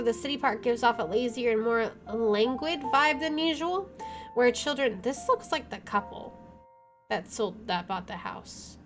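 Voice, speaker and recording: A woman doing a let's play, reading game narration aloud and reacting expressively, with game music behind her. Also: a little high.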